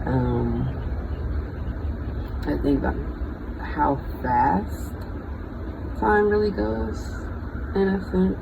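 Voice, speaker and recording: A thirty-year-old vlogger talking casually and off the cuff, in a reflective, halting, freestyled way. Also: medium pitch, animated, gravelly. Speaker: bioengineering student. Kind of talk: casual unscripted personal vlog. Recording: dull lo-fi audio with vehicle-like noise.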